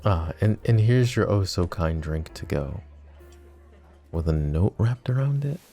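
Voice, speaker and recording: An actor playing a possessive, flirty mafia boss in an ASMR roleplay drama, teasing and smug with a cold, threatening edge. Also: male voice, sits low, lively intonation, a little slow, warm tone, soft background music. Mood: wry.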